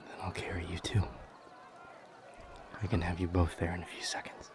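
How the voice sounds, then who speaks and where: A man playing a protective, urgent demon lover in an intimate audio roleplay, speaking in an earnest, hushed way. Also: deep voice, monotone, moderate pace, background noise, close to an everyday voice. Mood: protective, tender.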